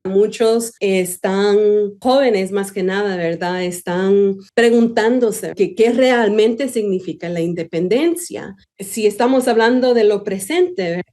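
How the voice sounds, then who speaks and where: A woman's voice: mid-range voice, darkish tone. A woman from New York, a history professor, giving interview answers in a conversational way, often tagging her points with a question. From New York, USA. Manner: conversational, tags statements with questions.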